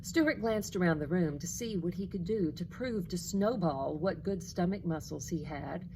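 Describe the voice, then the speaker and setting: A woman teacher reading a children's storybook aloud in a deliberate, expressive storytelling way. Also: lowish voice, noisy background. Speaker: second grade teacher.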